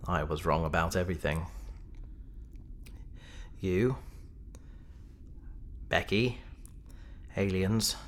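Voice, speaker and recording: An actor playing a disturbed, self-loathing man in a sci-fi audio drama, with a bitter, halting, desperate manner. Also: sits low, a little hiss or hum. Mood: bitter despair. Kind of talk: science fiction audio drama acting.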